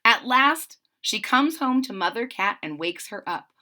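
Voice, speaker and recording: A woman reading a children's story aloud in an expressive style, with simple retelling asides. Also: fairly high pitch, a little slow.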